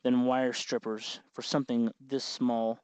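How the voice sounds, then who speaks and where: A man giving an informal how-to commentary as host, thinking aloud and pausing hesitantly as he works. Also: medium pitch, little pitch movement, a little mellow, clean tone, no room sound. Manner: hesitant, meandering, thinking aloud while working. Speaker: host of a DIY electronics tutorial. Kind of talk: informal hands-on tutorial commentary.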